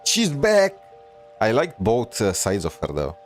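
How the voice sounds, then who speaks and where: A man's voice: on the low side, huge pitch swings, unhurried. A man giving casual reaction commentary as he streams a game. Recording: loud game music behind.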